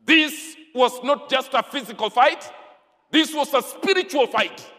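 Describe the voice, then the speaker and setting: Male preacher, Kenyan accent, declamatory sermon delivery, slow and weighty phrasing, bright forceful edge. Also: extremely high pitch. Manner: emphatic, declamatory, deliberate pauses and repetition. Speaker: pastor. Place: large church, preaching from a pulpit. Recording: long hall reverb.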